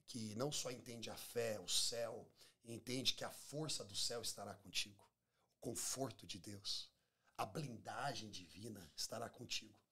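A man's voice: lowish voice, bright, forceful tone, breathy edge. A Brazilian man in his forties, a pastor talking in a conversational preaching style, emphatic, repeating phrases and acting out bits of dialogue. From Minas Gerais, Brazil. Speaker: pastor, podcast guest. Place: small podcast studio. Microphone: close studio microphone on table stand.

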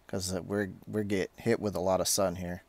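A man with a fairly low voice hosts a casual, hands-on tech update. He talks informally and haltingly, rambling a little as he goes. The sound is dry and close, as from a handheld camera. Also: little pitch movement, darkish tone, dry recording. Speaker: tech video maker, host.

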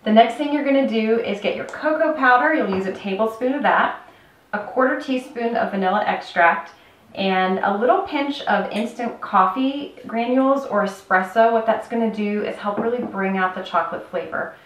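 A woman's voice: a little high, normal speed. A woman in her thirties, a health coach, giving an upbeat step-by-step cooking demonstration in her home kitchen and talking straight to the viewer. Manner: upbeat, instructional, addresses viewer directly. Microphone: camera mic at a distance. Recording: roomy kitchen from a distance.

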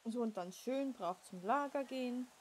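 A high-voiced woman in her thirties with a playful, giggly way of talking. She banters and teases casually during a game roleplay. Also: normal speed, darkish tone, slight background noise. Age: 30s.

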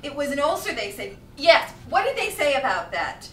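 A woman's voice: sing-song, moderate pace. A woman playing a distraught, defensive mother in denial, brittle and emphatic, in a staged drama reading. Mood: uneasy, evasive. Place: theatre stage, indoors. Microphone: far camera. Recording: distant, echoey stage, faint rumble.

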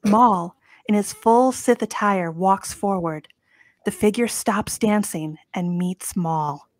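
A woman in her thirties narrates a screenplay at a live table read. Her voice is mid-pitched and recorded dry, with scripted scene-setting delivery. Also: takes it slowly, no room sound. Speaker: narrator reading stage directions. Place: home room, indoors, on a video call.

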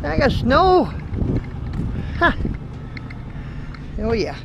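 A man's voice: extremely high pitch, wildly swooping pitch, drawn-out words, vocal fry, muffled recording. A middle-aged trucker chatting to his viewers in a loose, folksy ramble as he moves around his home and truck. The handheld camera picks up plenty of noise behind his voice. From Ohio, United States. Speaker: trucker vlogger. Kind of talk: casual vlog talk to camera. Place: farmhouse kitchen, driveway and truck cab in cold weather. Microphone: handheld action camera. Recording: noisy handheld camera audio.

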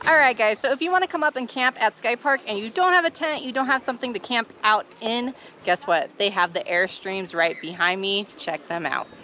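A woman hosting a family vlog, chatting casually to the camera at an outdoor park and cheering loudly from a distance. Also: fairly high pitch, a little fast, few pauses, highs cut off. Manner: upbeat, addresses viewers as "guys". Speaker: family vlogger host. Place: outdoor theme park and expo grounds. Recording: loud outdoor noise, vehicles and splashing water.

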